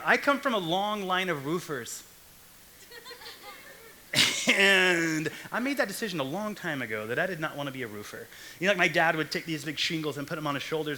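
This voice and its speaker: A man's voice: fairly high pitch, sharp tone, a little hiss or hum. A young man from Texas giving a casual, joking sermon to a young adult church group. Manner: chatty, jokey asides. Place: church hall stage.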